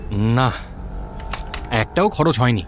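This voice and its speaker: A man playing a sharp, deferential junior police officer in an audio drama, reporting analytically and mixing in English words. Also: mid-range voice, lively intonation, slow, noisy background. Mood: analytical, assured. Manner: reporting to a superior, peppers speech with English. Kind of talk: detective audio drama acting.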